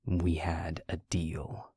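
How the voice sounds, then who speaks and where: A man playing an evasive, resentful vampire with a husky, creaky voice, sullen and sarcastic, in a roleplay audio drama. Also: low voice, completely flat delivery, drawn-out words, mellow, no room sound, studio quality, heavily acted.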